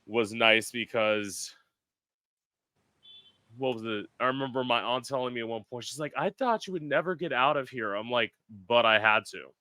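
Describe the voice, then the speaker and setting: A man's voice: medium pitch, normal speed, sharp tone, no echo, studio quality. A man from South Florida hosting a podcast in casual chat, talking with lots of filler words. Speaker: podcast host.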